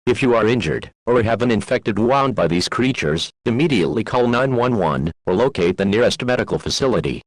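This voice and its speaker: A man reading a scripted emergency broadcast in a formal, measured, official manner. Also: on the low side, animated, no room sound, poor audio. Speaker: emergency alert announcer.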